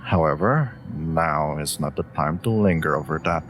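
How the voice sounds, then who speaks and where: A man voicing a clever, haughty wolf familiar in a scripted fantasy audio roleplay, speaking in a dry, smug, sharp-tongued manner. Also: a bass voice, moderate pace, soft background music. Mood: dry, concerned.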